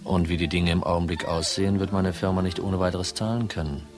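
An actor playing a cool, dogged insurance detective in a radio crime drama, dry, terse and probing. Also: male voice, low-pitched, steady tone, brisk, natural voice. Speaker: lead actor and first-person narrator. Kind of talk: radio crime drama acting, noir narration.

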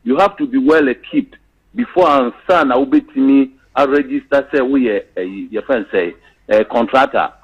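Older-sounding caller on a dull line. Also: male voice, medium pitch, lively intonation, husky. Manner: reminiscing, halting with fillers. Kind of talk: call-in to a radio show.

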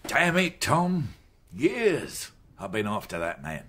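An actor playing a weary, frustrated senior policeman in a radio drama, gruff and deliberate. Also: mid-range voice, huge pitch swings, a bit bright, exaggerated voice.

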